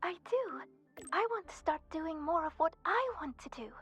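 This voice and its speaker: A woman's voice: very high voice, expressive, normal speed, a bit bright. An actress voicing a friendly, eager young female student in a video game, chatty, playful and sincere. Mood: hopeful, sincere. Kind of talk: scripted video game voice acting. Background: background music.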